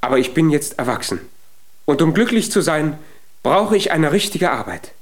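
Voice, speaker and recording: An actor playing a small, earnest, naive young giant in a German children's radio play. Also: male voice, a little high, lively intonation, normal speed, lightly acted. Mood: solemn, determined.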